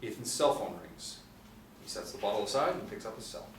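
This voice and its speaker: A man's voice: fairly low pitch, lively intonation, brisk. A man in his forties giving scripted narration, reading out screen directions. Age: 40s. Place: small indoor room, seated group. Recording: roomy, distant mic with faint noise.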